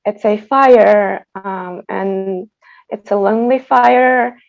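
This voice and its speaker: A woman who is a native Tatar speaker, giving an informal, reflective introduction as a composer, with halting phrasing, over a video call. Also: mid-range voice, gravelly, dull audio. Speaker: composer introducing her piece. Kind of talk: informal spoken introduction at an online concert.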